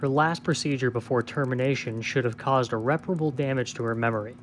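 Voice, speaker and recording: A guarded male scientist played by a man answers a superior in an audio drama. He is formal, dutiful and restrained, with measured replies at a middle pitch. The recording has a faint noisy hum. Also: lightly acted. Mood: defensive, controlled. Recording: noticeable background hum and noise.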